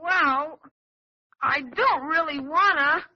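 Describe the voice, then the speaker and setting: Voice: sits high, slow, very noisy. A performer playing an earnest, eager schoolboy son in a 1940s radio sitcom, with slangy boyish talk. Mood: reluctant. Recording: muffled vintage radio recording.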